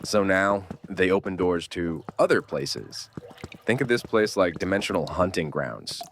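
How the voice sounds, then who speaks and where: An actor playing a gruff, weary survivor with a wry, streetwise way of explaining things, in a horror audio drama. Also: male voice, deep voice, expressive, a little slow, a bit bright, slight background noise, exaggerated voice. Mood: grim explaining. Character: gruff, weary male survivor of a nightmare dimension.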